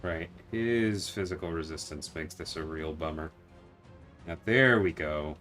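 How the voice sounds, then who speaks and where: A man's voice: low-pitched, measured pace, a bit bright, soft background music. A man hosting a casual livestream, explaining game mechanics and answering viewers while he plays. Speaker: livestream host and game designer. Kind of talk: casual livestream chat and gameplay commentary.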